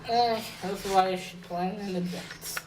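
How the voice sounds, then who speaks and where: A woman's voice: medium pitch, fairly even intonation, measured pace. Woman, casual reviewer, mid-range voice, hesitant rambling delivery, blunt and informal, faint background noise. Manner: hesitant, rambling, with self-corrections. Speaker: comic book reviewer. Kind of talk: casual, unscripted comic recap and review.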